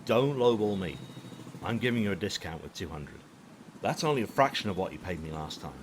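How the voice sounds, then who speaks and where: A man's voice: sits low, animated, brisk, a little hiss or hum. A man playing a cocky, callous hitman for hire in an audio drama, with a sarcastic, taunting manner. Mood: mocking.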